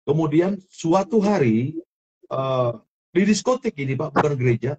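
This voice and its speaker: Halting man with a Sulawesi accent. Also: a little high, animated, a little mellow, breathy edge, highs cut off. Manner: repetitive, halting, many restarts. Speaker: guest, former film actor turned minister. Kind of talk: testimony interview.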